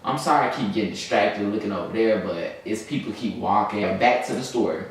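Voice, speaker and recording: A man's voice: on the low side, a little fast. Echoey, distant young Southern US man. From Birmingham, Alabama, United States. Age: young adult. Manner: slangy, rambling, easily distracted. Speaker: vlogger. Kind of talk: casual story-time chat to camera. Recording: very echoey room, distant mic, faint noise.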